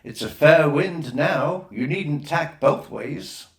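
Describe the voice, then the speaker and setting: A man voicing a fond, firm mother in a storybook, brisk with instructions and gently teasing. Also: fairly high pitch, lively intonation, voice pushed up. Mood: playful. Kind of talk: storybook character reading. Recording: roomy, echoing voice recording.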